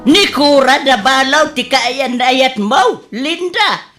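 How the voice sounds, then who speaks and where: A woman's voice: high-pitched, lively intonation, slow pace, over loud music. A woman playing an exasperated, melodramatic middle-aged mother with an Ilocano Filipino accent in a radio comedy. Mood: dismayed.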